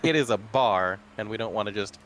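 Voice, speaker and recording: Dry, fairly low-voiced male player. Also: fairly quick, background noise. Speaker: tabletop game player. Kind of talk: casual in-character game talk.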